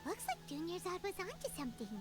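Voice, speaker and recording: A woman voicing a chirpy, excitable tiny floating female companion creature in a video game, who talks about herself in the third person. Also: very high voice, fast talker. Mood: curious. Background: game music.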